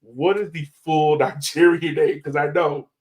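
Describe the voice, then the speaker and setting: A man's voice: fairly high pitch, steady tone, unhurried. A man hosting a podcast as a casual interview chat, speaking in an informal, slangy way. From Jamaican heritage. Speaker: podcast host.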